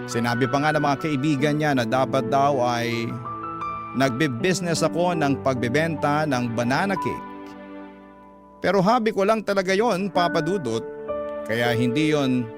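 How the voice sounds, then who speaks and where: A man reading as a caring parent, in measured, sentimental scripted narration for a radio drama. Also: a little high, lightly acted. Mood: fond, reflective. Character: caring parent narrating their child's love story. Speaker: radio storyteller reading a letter. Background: music bed.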